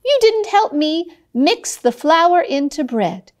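A busy little red hen from a children's storybook, voiced by a woman. She is determined and emphatic, her voice fairly high and very animated, and she takes her time over the words. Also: takes it slowly, heavily acted. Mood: firm scolding.

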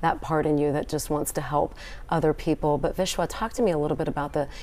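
A woman news anchor with a notably low voice speaks in an upbeat, emphatic studio manner. She is middle-aged and miked with a clip-on lapel mic in a TV studio. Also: steady tone, fast, darkish tone. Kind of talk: live studio interview.

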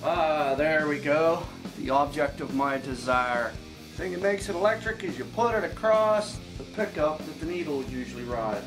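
Highish-voiced middle-aged man, music behind. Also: lively intonation, moderate pace. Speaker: homemade instrument inventor. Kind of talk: casual homemade demonstration. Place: room at home.

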